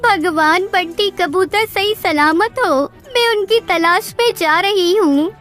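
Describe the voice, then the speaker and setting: An anxious, devoted little female bird from a children's cartoon, acted by a woman. The voice is extremely high, earnest and pleading, over light music. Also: slow pace, fairly bright tone, exaggerated voice. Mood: worried.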